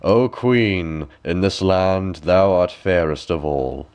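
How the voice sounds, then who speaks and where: Man as a slow, solemn magic mirror. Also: deep voice, a little mellow. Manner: slow, formal, declamatory. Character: solemn magic looking-glass answering in rhyme.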